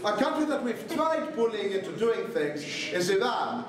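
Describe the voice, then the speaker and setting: A young man from Greece giving a brisk, sarcastic competitive debate speech. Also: sits high, sing-song, crowd noise. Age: young adult. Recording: echoey room, distant mic.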